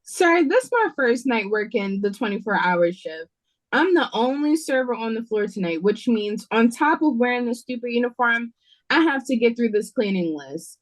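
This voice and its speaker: A frazzled waitress on the night shift, acted by a woman. Her voice sits on the high side, and she talks informally with a sassy, exasperated edge, as in an audio play. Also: expressive, moderate pace, natural voice, poor audio. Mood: fed up. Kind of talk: audio drama read-through. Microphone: video call.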